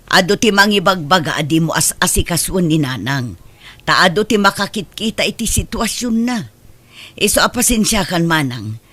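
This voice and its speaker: A woman's voice: fairly low pitch, expressive, normal speed, a bit bright. A woman with an Ilocano accent acting in a comedy drama, speaking in a pleading, earnest way. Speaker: drama voice actor, younger sibling.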